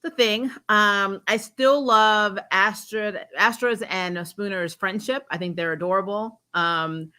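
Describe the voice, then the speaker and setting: A woman's voice: mid-range voice, takes it slowly, few pauses, sharp tone, smooth. A woman hosting a casual, chatty TV recap livestream in an informal, giggly, rambling way, often correcting herself. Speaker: host of a TV show recap livestream. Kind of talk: casual chatty episode recap with acted-out quotes.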